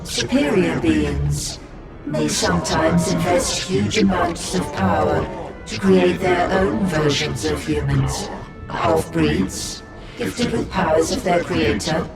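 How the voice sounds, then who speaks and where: A man's voice: a little high, takes it slowly, loud music behind the voice. A man voicing a monstrous collective being that calls itself "we" and talks with cold, formal courtesy. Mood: menacing calm. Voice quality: gravelly, speaks as "we". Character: monstrous collective male abomination, coldly polite. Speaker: game villain. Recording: echoey, distant, loud score behind.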